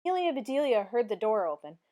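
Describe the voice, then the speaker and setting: A woman reading a children's storybook aloud in an expressive, clearly phrased narration. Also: on the high side, fast. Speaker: storybook reader.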